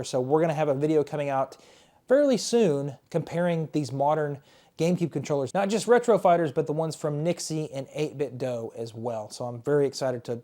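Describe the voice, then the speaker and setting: A man in his thirties chatting casually and enthusiastically in a collector's vlog, his voice worn and starting to go hoarse. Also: medium pitch, warm tone. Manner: chatty, enthusiastic, repeats words. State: losing his voice. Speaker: retro game collector and YouTuber. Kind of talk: casual show-and-tell vlog.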